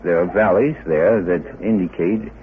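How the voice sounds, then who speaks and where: A man answering interview questions as an expert, in an emphatic, deliberate manner. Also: low voice. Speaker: interviewed researcher with a doctorate. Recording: muffled lo-fi tape, busy crowd behind.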